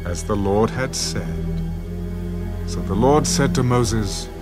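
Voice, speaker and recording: A man narrating scripture in a scripted dramatic style, solemn and measured. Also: fairly low pitch. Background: music.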